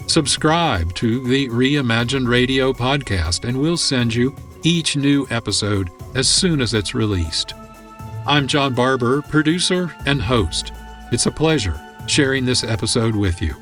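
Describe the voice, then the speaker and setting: A man's voice: mid-range voice, animated, unhurried, fairly bright tone. A man hosting a radio program, reading scripted narration and credits. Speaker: producer and host. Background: music.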